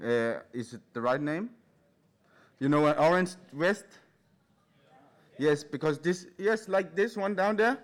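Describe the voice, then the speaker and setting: A man from Denmark with a Danish accent, giving an informal, anecdotal talk in a nervous, hesitant, halting and giggly way. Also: on the high side. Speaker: speaker at a live event. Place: in front of a large live audience.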